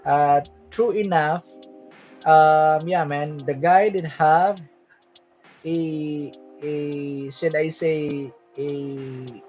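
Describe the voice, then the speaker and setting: A man's voice: fairly high pitch, steady tone, extremely slow, a little mellow, lo-fi sound, soft background music. Filipino man rambling on a livestream. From Philippines. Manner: rambling, fillers, tag questions. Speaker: livestream host. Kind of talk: casual livestream crypto chat.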